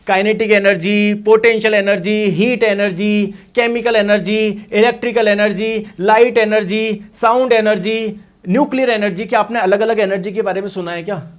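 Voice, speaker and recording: Lively high-voiced male science teacher, hoarse. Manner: lively lecturing, repeats each term in Hindi and English; asks and answers his own questions. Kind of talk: online exam-prep class.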